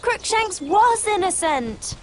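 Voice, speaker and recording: A woman's voice: extremely high pitch, animated, noisy background, heavily acted. A woman voicing an earnest, bookish young schoolgirl witch in video game cutscenes, eager and precise. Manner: eager, precise, quick to suggest a plan.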